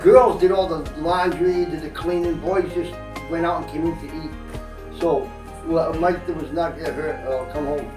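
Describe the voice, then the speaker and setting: Higher-voiced man of fifty, far from mic. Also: fairly even intonation, moderate pace. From Portugal, moved to America as a young child. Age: 50s. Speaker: interviewee, one of many siblings. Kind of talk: casual interview recollection. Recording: echoey, distant mic, music underneath. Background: faint music.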